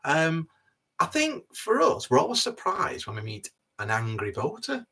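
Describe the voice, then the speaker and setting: Gravelly, animated man with a Wigan accent. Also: on the high side, normal speed. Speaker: vlogger. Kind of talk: casual livestream chat. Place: inside a narrowboat.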